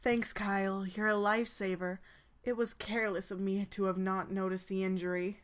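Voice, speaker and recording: A woman playing a friendly young female pegasus knight in a game fan dub, with a gentle, familiar way of talking. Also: medium pitch, little pitch movement, moderate pace, close to an everyday voice. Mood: downcast, grateful. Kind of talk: acted game dialogue.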